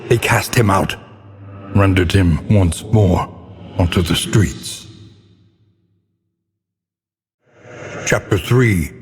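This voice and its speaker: A man's voice: low voice, slightly rough, in a large hall, heavily acted. A man playing a grim, brooding game narrator, telling gothic horror tales in a grave, theatrical, ornate manner. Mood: dark, solemn. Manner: grave, ornate, deliberately dramatic delivery.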